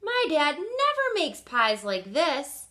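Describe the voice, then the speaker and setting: A woman's voice: sits high, wildly swooping pitch, slow, bright, forceful tone, pitched above the performer's own voice, heavily acted. A woman voicing a friendly, curious young neighbor boy from a picture book in a plain-spoken, wondering way. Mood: amazed.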